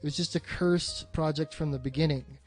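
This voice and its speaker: A man's voice: a little high, fairly even intonation, moderate pace, faint music behind. A man co-hosting a casual film discussion, emphatic and opinionated, swearing freely. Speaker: podcast co-host.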